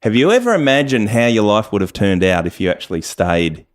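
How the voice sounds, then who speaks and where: A man's voice: lowish voice, very animated, a little fast. A man hosting a conversational interview and putting questions to his guests. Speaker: interview host.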